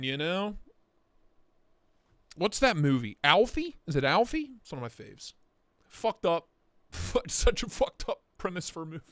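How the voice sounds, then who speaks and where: A young man hosting a casual livestream, hoarse as he loses his voice, talking in an excitable, profane way and slipping into mock voices. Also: fairly high pitch, no echo, studio quality. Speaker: livestream host.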